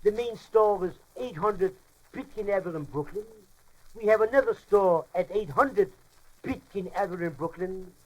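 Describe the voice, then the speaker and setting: A man's voice: high voice, normal speed, a little mellow, low-quality recording. A man playing a pompous, bumbling comic French professor in a radio monologue, lecturing in muddled, mock-formal language and mangled words.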